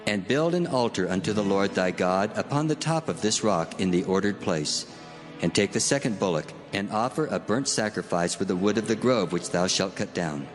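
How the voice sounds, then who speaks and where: A commanding, almighty divine male voice played by a man speaks in a solemn, deliberate way in a scripture audio drama. It is low-pitched, wrapped in long reverb, with music underneath. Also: expressive, close to an everyday voice.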